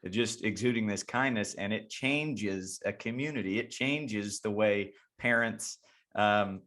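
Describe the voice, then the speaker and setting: A man with a fairly low voice hosts a casual chat and drifts easily from one thought to the next. He often restarts his sentences and repeats words as he goes. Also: measured pace, a bit bright. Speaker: podcast host and youth baseball coach. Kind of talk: casual interview conversation.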